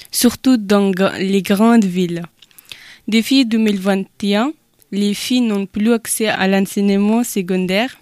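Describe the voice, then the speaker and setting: A woman's voice: mid-range voice, a little mellow, dry recording. Young woman, dry close sound. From Afghanistan. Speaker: speaker giving a personal testimony. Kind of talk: scripted testimony read aloud.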